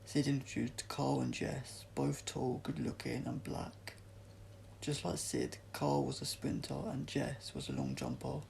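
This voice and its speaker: A man's voice: medium pitch, vocal fry, darkish tone, a little hiss or hum, rough recording. A man reads a storybook aloud as narrator, sometimes stumbling over words and correcting himself. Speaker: audiobook reader.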